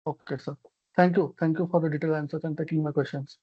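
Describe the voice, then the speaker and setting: An investor puts questions on a conference call. The delivery is polite and halting, in a medium-pitched voice. The line sounds muffled and lacks detail. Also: steady tone, warm tone, breathy edge. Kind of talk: unscripted questions on an earnings call. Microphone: conference call connection.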